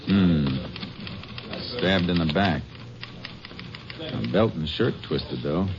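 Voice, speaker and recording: Deep-voiced, laconic Texas Ranger. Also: male voice, slow pace, background noise. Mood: businesslike. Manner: laconic, folksy, matter-of-fact. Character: seasoned, level-headed male Texas Ranger investigator and narrator. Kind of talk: 1950s radio drama acting. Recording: muffled vintage radio recording with music.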